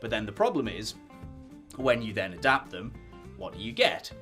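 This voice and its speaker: A man co-hosting a podcast, laying out his argument in a measured way with asides. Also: lowish voice, sing-song, bright, forceful tone, soft background music. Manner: measured, argues a thesis with asides. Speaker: podcast co-host. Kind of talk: casual podcast chat.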